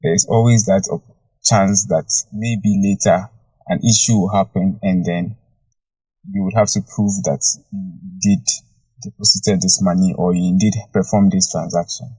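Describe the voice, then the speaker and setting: A man's voice: low voice, steady tone, normal speed, dark tone, breathy edge, no room sound, rough recording. A man speaking as a guest on a live audio chat, working through his point step by step with some ums. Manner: methodical, builds points step by step. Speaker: guest panelist. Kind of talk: casual discussion.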